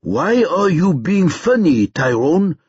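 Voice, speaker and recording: Audiobook kidnapper, played by a male narrator: menacing, commanding, husky-edged, controlled delivery. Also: fairly high pitch, sing-song, darkish tone, no echo, lo-fi sound, pitched above the performer's own voice, heavily acted. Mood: cold menace. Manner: deliberate, commanding, threatening. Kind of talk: audiobook character dialogue.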